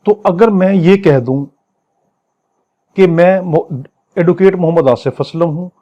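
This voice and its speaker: A man's voice: sits high, dark tone, muffled recording. A man with a Pakistani accent teaching law in a didactic way, explaining phrase by phrase and repeating himself. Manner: didactic, repeats and paraphrases, switches to slow English readings. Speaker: law lecturer. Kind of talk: exam lecture, explaining legal text phrase by phrase.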